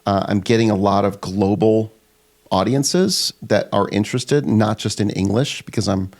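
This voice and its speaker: Man, first language German, podcast co-host, low voice, gravelly, agreeable. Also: normal speed. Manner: relaxed, agreeable, with many short affirmations. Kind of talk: casual podcast chat.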